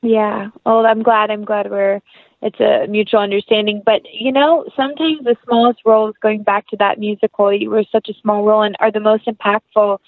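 A young woman with an American accent talks casually as an interview guest. She is earnest and effusive, rambling through her thoughts at a medium pitch. The sound is dry, with little room in it. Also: level tone, moderate pace, no echo, narrow, tinny audio. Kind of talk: casual interview chat, anecdotal.

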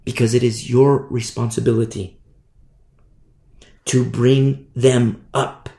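A man preaching a sermon in an emphatic, repetitive style, swinging from hushed to loud. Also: mid-range voice, flat delivery, slow, gravelly. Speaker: pastor. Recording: roomy echo.

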